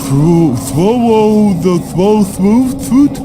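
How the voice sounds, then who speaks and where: A man voicing a dim-witted, good-natured cartoon starfish in a video game, dopey and slow-witted. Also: high-pitched, animated, over music, exaggerated voice. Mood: eager, confused.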